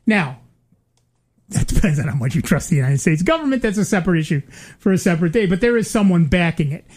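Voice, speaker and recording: A man's voice: high-pitched, lively intonation, fast talker, a little mellow. Older guy chatting casually, lots of uh and um, wry little jokes, starts over a lot. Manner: rambling, many fillers and restarts, wry asides. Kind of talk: casual chat.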